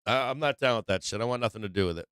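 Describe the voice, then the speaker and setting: This is a man with a clean, resonant voice of medium pitch, recorded dry with hardly any room around it. He talks like the host of a live trading stream, moving loosely between chat and market commentary. His language is blunt, slangy and freely profane. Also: rapid-fire, a bit bright, smooth, no room sound.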